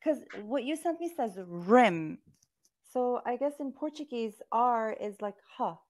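A woman from the United States tutors English over a video call, curious and patient and quick to laugh. Her voice is high and swings widely in pitch. She talks through an earphone mic. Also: lively intonation, darkish tone. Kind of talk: online tutoring chat.

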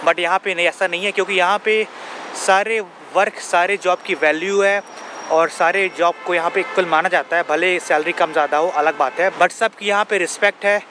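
High-voiced young man vlogging outdoors. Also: steady tone, normal speed, pushed and bright. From India. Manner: earnest, explanatory, lists points and asks rhetorical questions. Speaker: travel vlogger. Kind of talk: casual travel vlog talk to camera. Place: outdoors on a grassy campus lawn. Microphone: camera held at arm's length. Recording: outdoor noise behind voice.

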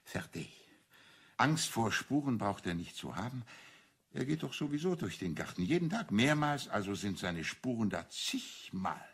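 Deep gravelly sleuthing priest. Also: male voice, very animated, a bit bright, exaggerated voice. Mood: assured, triumphant. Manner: deliberate, self-assured, didactic explaining. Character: shrewd priest and father confessor playing amateur detective. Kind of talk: German radio crime drama acting.

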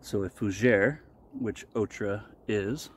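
A man in his forties giving a casual explanatory talk to camera as a vlogger, with deliberate, halting phrasing, recorded outdoors. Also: fairly low pitch, breathy edge. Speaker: vlogger reviewing shaving products. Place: outdoors in a wooded park clearing. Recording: noisy outdoor ambience. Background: outdoor ambient noise.

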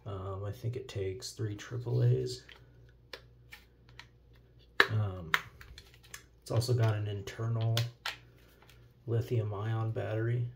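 A man's voice: on the low side, flat delivery, takes it slowly, gravelly, long pauses. An American man giving a casual, offhand product demonstration as a reviewer, recorded indoors at a countertop. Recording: noticeable noise, handling clicks.

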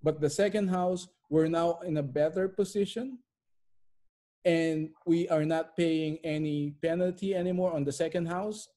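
Male interview guest, middle-aged, somewhat high and mellow voice, emphatic repetition, conversational. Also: fairly even intonation, unhurried. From immigrant to Canada. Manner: emphatic, repeats phrases for effect. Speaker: interview guest, real estate investor. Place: bright living room at home.

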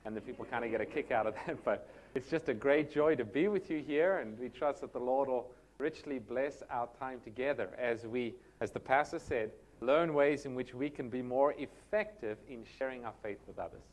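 A man's voice: mid-range voice, moderate pace. A man in his thirties from South Africa, with a South African accent, speaking as a guest pastor in a friendly, joking, conversational way into a handheld microphone in a church hall. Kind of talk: sermon introduction.

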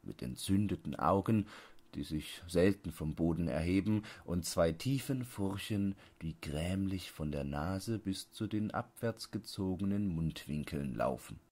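A man narrating an audiobook, reading literary prose in a measured, carefully enunciated way. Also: sits low, lively intonation, a little mellow.

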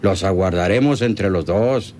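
A man's voice: deep voice, a little slow, darkish tone. A man playing a scheming, greedy country henchman in a radio serial, talking in a coaxing, rustic, colloquial way. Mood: cold, scheming. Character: scheming rural male bandit henchman, persuasive and greedy. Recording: noisy old radio serial recording.

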